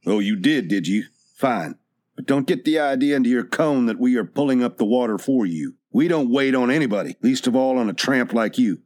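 A man's voice: medium pitch, lively intonation, fairly quick, a little mellow, lightly acted. A man playing a belligerent, swaggering gold prospector. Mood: belligerent, threatening. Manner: belligerent, slangy, sneering. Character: hot-tempered, bullying male gold prospector, rough-talking.

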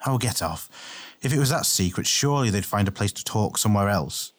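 Male narrator as impulsive young warrior, blunt and excitable, husky, very animated delivery, audiobook fantasy. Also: on the low side, a little fast. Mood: cocky. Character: brash, hot-tempered young male warrior, impulsive and suspicious. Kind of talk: acted audiobook dialogue.

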